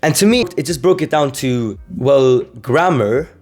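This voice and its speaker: A man's voice: medium pitch, lively intonation, unhurried, darkish tone, soft background music. A young man from Sweden, a language-learning vlogger, talking casually to camera, rambling with many fillers and restarts.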